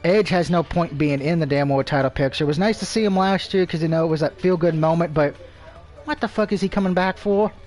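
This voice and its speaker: A man's voice: fairly high pitch, brisk, a little mellow, faint music behind. A man casually commentating on a live game stream, rambling and swearing, with opinions on everything and a speech impediment.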